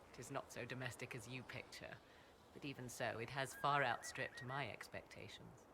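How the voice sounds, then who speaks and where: An aloof witch from a fantasy game. She speaks in stately, archaic phrasing with dry disdain. Her voice carries a gravelly fry, and clear background noise sits under the game audio. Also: very deep voice, steady tone, moderate pace. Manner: formal, archaic diction, haughty and dry. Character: proud, aloof female witch and mother. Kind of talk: video game cutscene dialogue.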